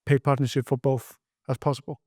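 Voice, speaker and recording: A man's voice: medium pitch, little pitch movement, brisk, mellow, dry recording, studio quality. A young Icelandic man speaking English as a second language in a podcast interview, earnest, with many repeated words and restarts. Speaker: guest entrepreneur.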